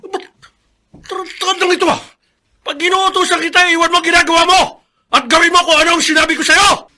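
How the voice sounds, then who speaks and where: A man's voice: extremely high pitch, steady tone, fairly quick, pushed and bright, exaggerated voice. An actor playing a father, by turns harsh or remorseful, in a Filipino radio drama. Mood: furious, bullying. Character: harsh abusive father in flashback, frail repentant old man later.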